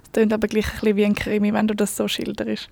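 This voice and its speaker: A woman's voice: mid-range voice, completely flat delivery, fast talker, creaky, a little mellow, dry recording. Swiss German woman asking brief questions. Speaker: podcast host. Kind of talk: interviewing.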